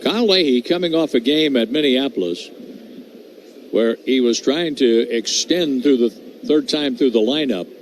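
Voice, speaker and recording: A man doing measured, descriptive live play-by-play of a baseball game as a radio announcer. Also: mid-range voice, rough recording. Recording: clear background noise at ballpark.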